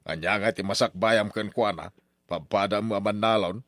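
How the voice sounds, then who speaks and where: A man's voice: lowish voice, normal speed, a bit bright, no room sound, close to an everyday voice. A man playing a stern, money-minded older father in a radio drama, with an Ilocano accent and emphatic delivery. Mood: scolding.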